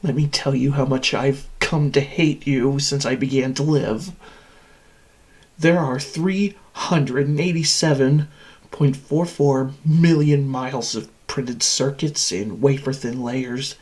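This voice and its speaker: A man's voice: mid-range voice, slightly rough. A man voicing an insane, sadistic supercomputer that hates its human captives, in a theatrical and menacing villain monologue. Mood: seething hatred. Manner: theatrical, menacing, swinging from whispers to screams.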